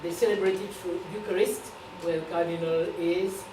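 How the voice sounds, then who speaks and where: Lowish-voiced woman, echoey room. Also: fairly even intonation, normal speed, distant mic. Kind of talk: announcement and remarks to a gathering. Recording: echoey, noisy room from afar.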